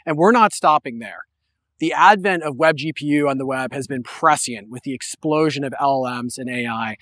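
A man's voice: mid-range voice, normal speed, fairly bright tone, no room sound. Enthusiastic young man speaking outdoors. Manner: enthusiastic, gesturing while speaking. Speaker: host. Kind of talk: scripted keynote presentation. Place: outdoors on a hillside trail.

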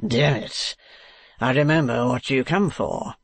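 Foppish gentleman, mincing and affected, slow drawl; man voicing, gravelly, dry close mic. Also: mid-range voice, dry recording. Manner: mincing, affected, swearing lightly. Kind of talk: audiobook character reading.

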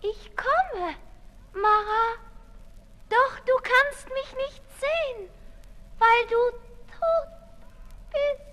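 A woman playing a fragile young female assassin in a 1960s German radio drama, halting and solemn like a sleepwalker. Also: very high voice, bright, forceful tone, a little hiss or hum, heavily acted. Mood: trancelike, grieving. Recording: 1960s radio recording with music.

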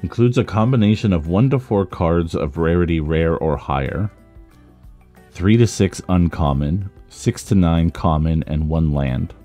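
Male card-game creator, very low mellow voice with a touch of roughness, casual unboxing commentary, music underneath. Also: unhurried. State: slightly rough voice from talking a lot. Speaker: trading card content creator.

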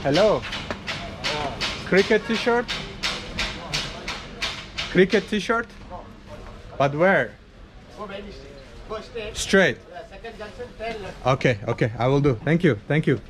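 Guy from azerbaijan vlogging on his phone, walking a loud market street, simple english, kinda high voice. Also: lively intonation, very slow, pauses often. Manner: chatty, simple non-native English, asks passersby directions. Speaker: travel vlogger. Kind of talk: casual walking vlog. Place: busy outdoor street market. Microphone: handheld camera at arm's length. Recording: loud street noise outdoors. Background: traffic and market bustle.

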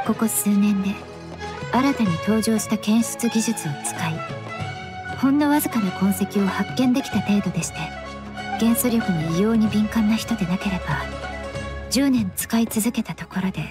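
A woman playing a composed, polite young perfumer in a Japanese game dub, formal, analytical and measured in manner. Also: medium pitch, lightly acted. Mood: clinical, measured. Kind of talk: Japanese anime game dubbing. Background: background music.

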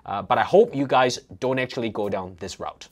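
A man's voice: mid-range voice, fairly quick. A middle-aged man hosting a casual reaction video, explaining and giving coaching advice in an indoor office. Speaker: host, fitness coach.

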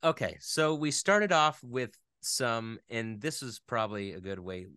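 A man speaking casually as an interview guest, rambling along with animated, geeky enthusiasm. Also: mid-range voice, measured pace, clear, resonant tone, dry recording, studio quality.

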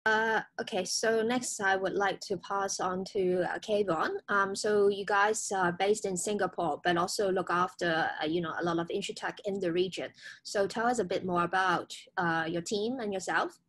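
A woman's voice: mid-range voice, fairly even intonation, normal speed, a bit bright. Young woman, panel moderator, short prompts, video call. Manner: brief, friendly handovers. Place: indoor room.

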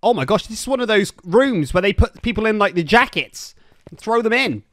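A man's voice: sits high, expressive, a little fast, a bit bright. A young man from Somerset with a Somerset accent, streaming a ghost-hunting game and chatting casually into a desk mic in a small room. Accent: Somerset English. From Somerset, England. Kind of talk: casual gaming commentary. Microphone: studio mic on a boom arm.

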